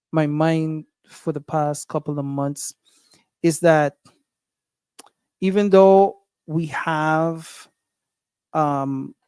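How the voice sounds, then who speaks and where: A man's voice: a little high, darkish tone, clean tone, dry recording. A man with a Guyanese accent hosting a casual livestream, thinking aloud with many fillers and restarts. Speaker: livestream host.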